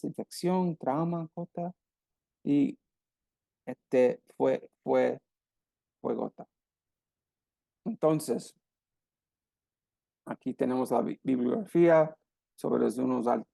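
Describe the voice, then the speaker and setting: Halting, gravelly Puerto Rican man lecturing. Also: medium pitch, dark tone, dry recording. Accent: Puerto Rican Spanish. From Puerto Rico. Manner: halting, with many restarts and repeated words. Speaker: radiologist lecturer. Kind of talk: medical lecture and Q&A.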